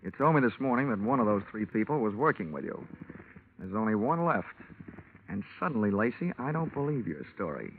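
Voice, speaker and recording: A man's voice: lowish voice, big pitch swings, fairly quick, a little hiss or hum. An actor playing a wry, hard-boiled insurance investigator who narrates his own case, in 1950s radio drama style, on a muffled old recording. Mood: pressing. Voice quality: wisecracking, dry. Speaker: detective lead and narrator.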